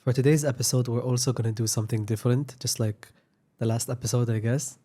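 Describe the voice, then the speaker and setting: A man hosting a podcast, easygoing and unscripted as he opens the episode and chats with his guest. His delivery is loose and relaxed, with a few small stumbles. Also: medium pitch, fast. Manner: laid-back, loose and unscripted. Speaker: podcast host. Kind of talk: casual podcast conversation.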